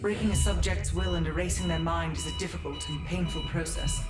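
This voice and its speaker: A woman's voice: lowish voice, fairly quick, loud music behind the voice, natural voice. A woman acting a cool, precise, detached intelligence operative in a cinematic spy game. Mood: clinical.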